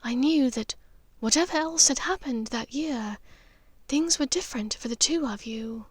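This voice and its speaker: Woman voicing soft, high-pitched aristocratic witch mother. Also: normal speed, no echo. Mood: earnest, soft. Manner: soft, hesitant, wistful, breathy at times. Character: gentle, knowing, intuitive aristocratic witch mother.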